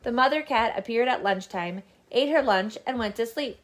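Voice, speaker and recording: High-voiced woman reading a children's story. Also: moderate pace. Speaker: storybook reader. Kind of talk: expressive scripted children's story narration.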